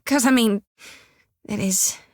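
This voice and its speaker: A woman's voice: fairly high pitch, slow, a little mellow, dry recording, studio quality. An actress voicing an earnest, devout young farm-raised woman priest, folksy and stubborn. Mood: flustered. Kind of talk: video game voice acting. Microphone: dry studio mic.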